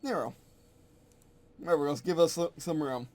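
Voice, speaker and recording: A man's voice: on the high side, normal speed, a little mellow. A man casually thinking aloud at his computer as he reworks his game rules, rambling and correcting himself as he goes. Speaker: game designer streaming his work.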